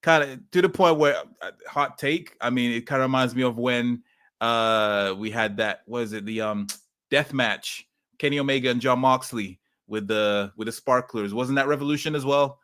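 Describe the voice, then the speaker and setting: A man's voice: mid-range voice, normal speed, fairly bright tone, smooth, no echo, clean studio sound. A man co-hosting a casual podcast, rambling with many ums and uhs as he gives his takes. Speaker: podcast co-host. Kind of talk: casual chat.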